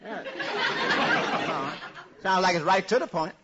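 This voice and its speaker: A man's voice: high-pitched, measured pace, over a noisy crowd, very noisy. A man with an American accent playing a folksy, wisecracking household helper in 1940s radio comedy. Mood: dry, easygoing. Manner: folksy, teasing, puzzled questioning. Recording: muffled old radio recording.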